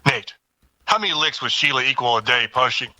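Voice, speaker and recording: Guy doing smug menacing bad guy for radio play, forceful edgy tone, no room echo. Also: medium pitch, lively intonation, a little fast. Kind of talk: audio drama acting, outtakes.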